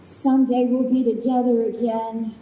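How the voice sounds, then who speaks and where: A woman plays a protective, outspoken young daughter in an old-time radio drama. Her voice is high with a dark, mellow tone, and the live room rings with echo. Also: little pitch movement, normal speed, a little hiss or hum, close to an everyday voice. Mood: earnest. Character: blunt, protective twenty-two-year-old daughter. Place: convention room stage performance.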